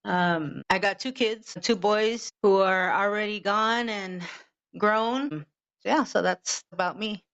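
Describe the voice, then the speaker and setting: A woman's voice: mid-range voice, slow, a bit bright, smooth. A woman in her fifties from Los Angeles, co-hosting a podcast in a laid-back, chatty way and swearing casually. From Los Angeles, California. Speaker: podcast co-host. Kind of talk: casual chat. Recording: dull lo-fi sound.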